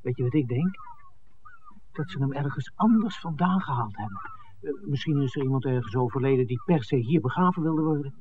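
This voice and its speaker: A man's voice: mid-range voice, lively intonation, brisk, lightly acted. Actor as an inquisitive man, tinny old recording. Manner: questioning, conversational, hesitant asides. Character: inquisitive male film-maker, outsider in village. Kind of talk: 1960s radio play acting. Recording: tinny, noisy 1960s radio recording.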